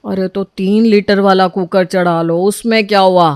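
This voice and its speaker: A woman voicing a bossy, sarcastic young husband in an animated story, scolding his wife. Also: medium pitch, normal speed, clean tone, no room sound, studio quality, close to an everyday voice.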